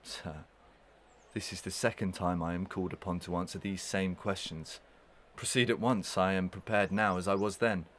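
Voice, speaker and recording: A man acts a scornful gentleman villain in a Victorian audio melodrama. His low voice is pompous, cool and formal, with clear noise behind it. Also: fairly even intonation, moderate pace. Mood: scornful, defiant.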